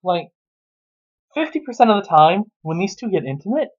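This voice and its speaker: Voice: mid-range voice, sing-song, normal speed, a little mellow. Muffled, sardonic, sweary story reviewer of unclear sex. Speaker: reviewer reading a story aloud with commentary. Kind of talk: casual sarcastic commentary and mock reading.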